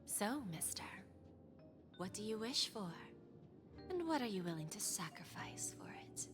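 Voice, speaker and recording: An actress playing a poised, seductive woman pawnbroker in a video game, silky, measured and knowing, with breathy touches. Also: mid-range voice, sing-song. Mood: sly, seductive. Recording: music behind.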